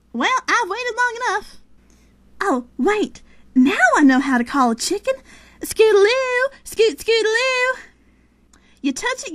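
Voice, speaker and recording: A woman's voice: sits high, no room sound. A woman voicing a spunky, earnest little filly pony, in an eager, folksy cartoon style. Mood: playful. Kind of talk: cartoon voice acting demo.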